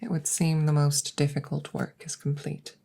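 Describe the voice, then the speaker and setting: A low female alien scientist, acted by a woman, detached and precise. The voice is husky and breathy with a crackle of fry, sometimes sinking into whispers. Each phrase is formal and deliberate, in the intimate manner of ASMR roleplay. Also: monotone, dark tone. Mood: calm, politely concerned.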